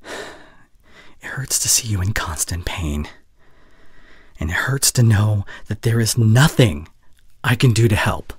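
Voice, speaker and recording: A young man playing a caring, heartbroken boyfriend in an intimate audio roleplay, speaking haltingly and earnestly, often dropping to near whisper. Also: lowish voice, lively intonation, husky, no echo, studio quality, heavily acted. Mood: pained, pleading. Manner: halting, earnest, pausing mid-sentence. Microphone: close studio condenser mic.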